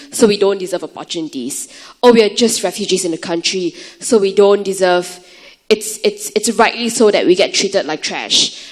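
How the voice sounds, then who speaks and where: A woman speaks earnestly at a youth forum panel in a conference hall. Her talk is conversational and full of fillers as she shares her work with refugee children. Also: mid-range voice.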